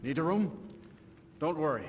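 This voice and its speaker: A man's voice: a little high, animated, normal speed, far from the mic, natural voice. A man playing an obliging fantasy innkeeper in video game dialogue, recorded roomy and noisy.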